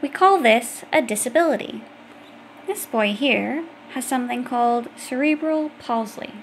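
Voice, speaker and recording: A woman's voice: fairly high pitch, measured pace, a little hiss or hum. A woman reading an educational children's book aloud, explaining things directly to young listeners. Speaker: audiobook reader.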